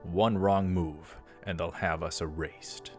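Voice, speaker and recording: Grave young male lead a man acts him, low pitch, emphatic and protective, visual novel drama. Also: steady tone, slow pace, over music. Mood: urgent warning.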